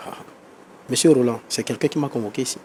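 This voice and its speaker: A man with a touch of flu argues his side on a talk show. His voice sits at an ordinary pitch and turns defensive and heated fast, with points said twice over. A faint noise runs under it. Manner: defensive, quickly heated, repeats himself. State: has the flu. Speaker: guest on a reconciliation show.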